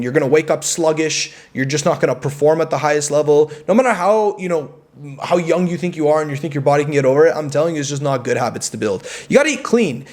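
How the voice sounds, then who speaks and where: A young man vlogging, giving casual self-improvement advice in an emphatic way. He often repeats words and adds "right?". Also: a little high.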